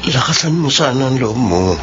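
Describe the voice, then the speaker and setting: An actor playing a gentle, earnest young boyfriend, in a radio drama. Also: male voice, medium pitch, animated, normal speed, dull audio, a little hiss or hum, close to an everyday voice. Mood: concerned.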